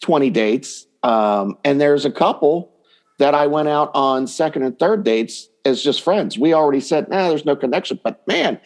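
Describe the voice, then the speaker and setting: A man's voice: a little high, animated, moderate pace. A man chatting informally and enthusiastically as a dating coach and guest, acting out imagined quotes as he gives advice. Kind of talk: casual conversational interview advice.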